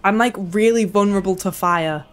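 A woman's voice: medium pitch, a little fast, a little hiss or hum. A young woman giving casual, playful, chatty commentary while she plays a video game. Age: young adult. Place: small indoor room at home.

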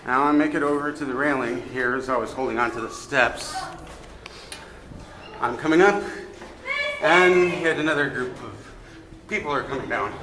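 A man chatting casually and good-humoredly as he narrates a sightseeing climb to his handheld camera, speaking while exerting himself. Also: medium pitch. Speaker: amateur vlogger filming a sightseeing climb. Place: inside a narrow brick lighthouse stairwell. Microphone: handheld camera held by the speaker. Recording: echoey stairwell, long reverb, clear noise.

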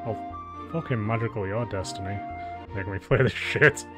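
A man's voice: fairly low pitch, moderate pace. A man doing casual, sweary gaming commentary, exasperated and sarcastic. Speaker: let's play streamer. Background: game music.

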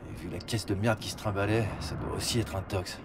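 An actor playing a defiant young street kid turned reluctant informant in a French audio drama, sullen, defensive and slangy. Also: male voice, on the low side, fairly even intonation, fairly quick, vocal fry, background noise. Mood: grudging. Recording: music bed behind the voice.

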